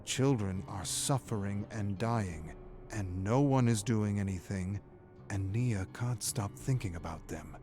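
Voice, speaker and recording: A man reading a scripted story as a dramatic narrator, grave and deliberate. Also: fairly low pitch. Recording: music playing behind the narration. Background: background music.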